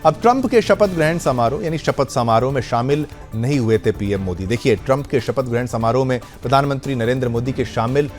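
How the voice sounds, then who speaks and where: A man's voice: medium pitch, fairly quick, few pauses. A man anchoring television news, explaining stories conversationally and often rephrasing points for viewers. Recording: music bed under the voice. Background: background music.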